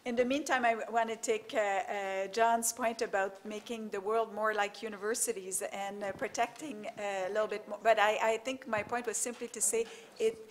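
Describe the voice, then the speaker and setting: Woman moderator, halting, lots of fillers, podium mic, faint hum. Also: medium pitch, fairly even intonation. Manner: halting, many fillers, then argumentative. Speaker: panel moderator. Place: lecture hall, at a podium.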